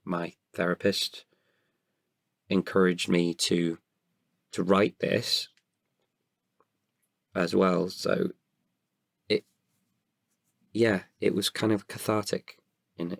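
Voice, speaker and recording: A man, an actor, talking reflectively about himself in an interview, haltingly and with pauses mid-sentence. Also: sits low, flat delivery, vocal fry, no echo. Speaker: actor and guest writer. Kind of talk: reflective personal interview talk.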